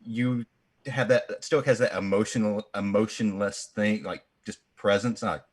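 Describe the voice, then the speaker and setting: A man with a naturally deep voice chatting casually with fellow tabletop players in a relaxed way. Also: measured pace, husky. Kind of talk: casual chat.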